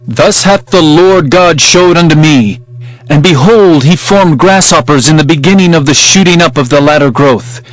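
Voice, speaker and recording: A man's voice: on the high side, normal speed, soft background music, lightly acted, rough recording. A man playing an earnest, humble herdsman prophet, speaking solemnly and declaiming in a dramatized scripture reading. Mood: awed.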